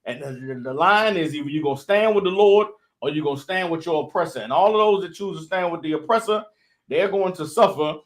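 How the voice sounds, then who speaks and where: A man's voice: fairly high pitch, fairly quick. A man giving loose, impassioned religious commentary, full of "you know what I'm saying" as his intensity builds. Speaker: member of the group adding commentary.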